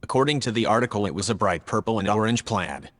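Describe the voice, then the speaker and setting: An earnest, logical male AI from a radio play, acted by a man. He reports in a measured, formal, factual manner. The voice is a little low, with a long hall-like reverb trailing it. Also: animated, a bit bright. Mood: earnest, matter-of-fact. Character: male artificial intelligence, logical, earnest, troubled by humans. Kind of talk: scripted audio drama dialogue.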